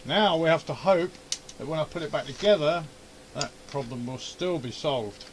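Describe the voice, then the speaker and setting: A man's voice: on the high side, normal speed, slight background noise, low-quality recording. A man giving a casual running commentary on a hands-on repair, grumbling and muttering to himself as he goes. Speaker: amateur radio hobbyist and video host. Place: indoor home radio shack.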